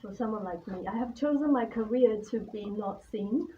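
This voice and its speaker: A woman's voice: a little high, moderate pace. A woman from Taiwan gives an informal, personal talk in a nervous, hesitant, candid manner. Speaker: artist-in-residence guest speaker. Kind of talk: informal spoken presentation about own life and work. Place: live talk at an art venue. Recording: distant mic, dull sound, faint background noise.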